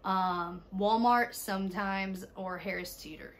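A woman's voice: medium pitch, slow pace. Chatty woman vlogging from her bed. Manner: relaxed, chatty, trailing off with fillers. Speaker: vlogger and mom. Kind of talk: casual vlog chat to camera. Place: bedroom, indoors, sitting on bed.

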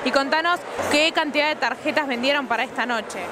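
A woman's voice: high voice, brisk. A woman reporter asking friendly, prompting questions in a field interview. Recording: crowd and noise behind.

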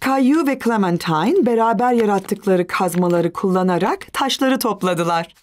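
A woman narrating a children's cartoon as a gentle, measured storyteller. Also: mid-range voice, expressive, close to an everyday voice.

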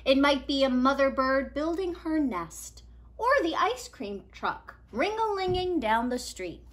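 Bright, animated woman reading a picture book. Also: high voice. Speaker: read-aloud storyteller. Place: small living room at home, indoors.

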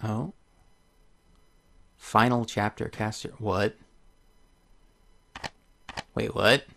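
A man's voice: lowish voice, steady tone, drawn-out words, creaky, sharp tone. A man hosting a video game playthrough, commenting on the game and reading its story text aloud. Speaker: let's play host.

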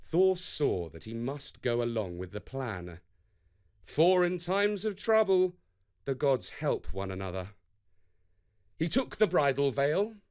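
A man's voice: mid-range voice, sing-song, slow, a little mellow, clear, resonant tone. A man narrating a scripted children's story as a storyteller.

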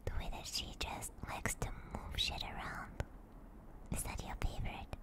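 A woman streaming ASMR in a soft, breathy whisper, gently and soothingly guiding and chatting close to a binaural microphone. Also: normal speed. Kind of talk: whispered ASMR guided meditation and chat. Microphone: close binaural ear microphone.

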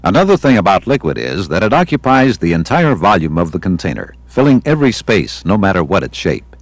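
Male American training film narrator, low and slightly husky voice, measured teaching delivery, dry studio sound. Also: very animated, no room sound. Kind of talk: scripted instructional narration. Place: studio voice-over.

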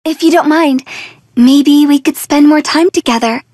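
A woman's voice: high voice, normal speed, darkish tone, dry recording, studio quality, natural voice. A woman voicing a shy, lovestruck young woman in a game, bashful and hesitant. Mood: shy, tender. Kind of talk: game voice acting.

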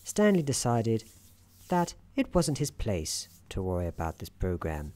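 A man playing a soothing, wry narrator in an office worker's head, soft-spoken, with measured theatrical delivery for an ASMR storytelling roleplay. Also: lowish voice, wildly swooping pitch. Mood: calm narrating.

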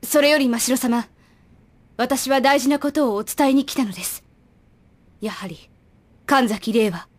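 A woman playing a serious, dutiful teenage girl agent in an anime audio drama, speaking earnestly, politely and gravely. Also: high-pitched, unhurried, pauses often.

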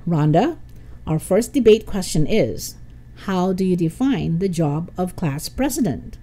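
A woman voicing a cheerful male schoolteacher, measured and formal, in a storybook reading. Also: on the low side, animated, warm tone. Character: cheerful male schoolteacher moderating a class debate.

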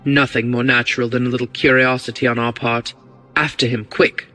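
Man playing a breezy, assured gentleman rogue. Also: medium pitch, level tone, a little fast, soft background music, close to an everyday voice. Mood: brisk, commanding. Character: cool, bold, quick-witted English gentleman rogue. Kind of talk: audiobook character reading.

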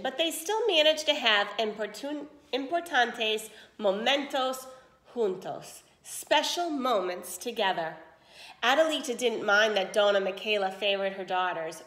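A woman's voice: fairly high pitch, lively intonation, normal speed, a bit bright, reverberant. A woman reading a picture book aloud to children like a teacher, explaining as she goes and checking understanding. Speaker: read-aloud storyteller, teacher.

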